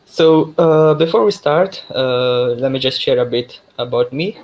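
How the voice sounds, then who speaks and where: A man's voice: medium pitch, measured pace, muffled recording. Mozambican man giving a casual tech talk. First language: Portuguese. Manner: relaxed, many fillers and restarts. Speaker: guest speaker, developer expert. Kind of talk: online tech talk presentation.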